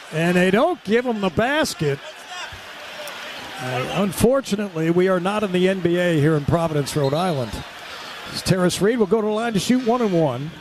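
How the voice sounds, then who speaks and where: A man calling live basketball play-by-play on the radio in an excitable, punchy delivery that rises with the action. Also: sits high. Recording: loud arena noise behind the voice. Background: basketball game ambience.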